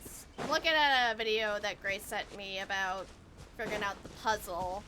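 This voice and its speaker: A woman chatting casually and excitably as she streams a game, often thinking aloud and exclaiming. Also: medium pitch, moderate pace, noisy background. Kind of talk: casual livestream gameplay chat. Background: video game music.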